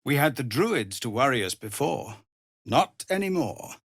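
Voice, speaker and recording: Weary, honourable tiefling paladin leader, game drama. Slow, measured, grave delivery, dry studio sound. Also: male voice, medium pitch, very animated, a bit bright, slightly rough, no room sound, full character voice. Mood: grave, concerned. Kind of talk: video game dramatic voice acting.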